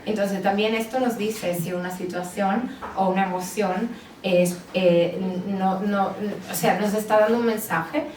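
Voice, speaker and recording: A woman's voice: medium pitch, fairly even intonation, measured pace, a little mellow. Italian-accented woman in an echoey room. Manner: hesitant, many fillers and restarts. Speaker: psychologist and author. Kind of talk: book presentation talk. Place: bookstore event space. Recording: roomy, distant mic with background noise.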